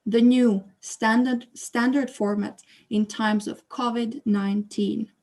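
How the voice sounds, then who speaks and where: A woman's voice: fairly high pitch, fairly even intonation, takes it slowly, a little mellow. A woman hosting an online exhibition opening who reads a prepared statement over a video call, then moderates with hesitant fillers. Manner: hesitant fillers when speaking off script. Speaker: exhibition host and curator.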